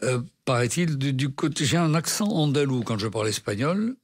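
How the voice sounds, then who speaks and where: An older man from France, a radio guest recalling his stage career in a relaxed, anecdotal way, with many restarts. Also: mid-range voice, brisk, slightly rough. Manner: hesitant restarts, animated retelling. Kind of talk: anecdotal interview storytelling. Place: radio studio.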